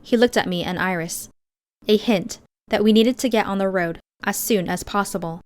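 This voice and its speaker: A woman narrating an audiobook in the first person, with measured, expressive storytelling. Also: mid-range voice.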